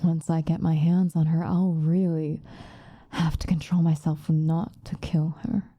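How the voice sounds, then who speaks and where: A woman playing a centuries-old female vampire, possessive and vengeful, in a soft, breathy, intense ASMR roleplay. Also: sits low, little pitch movement, no echo, studio quality. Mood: bitter, scornful anger. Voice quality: soft, breathy, often whispered. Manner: intense, dramatic, slow with long pauses.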